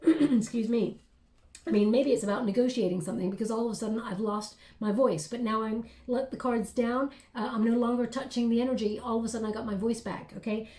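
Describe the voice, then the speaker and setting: A woman's voice: on the high side, warm tone, roomy sound. A woman giving a tarot reading in an encouraging, reassuring way, with frequent fillers. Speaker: tarot reader.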